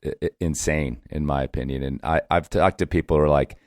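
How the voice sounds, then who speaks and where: A man with a low, deep voice hosts a podcast in a casual, conversational style. The sound is dry, with no room around the voice. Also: steady tone, brisk, darkish tone, dry recording. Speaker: podcast host. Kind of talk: casual chat.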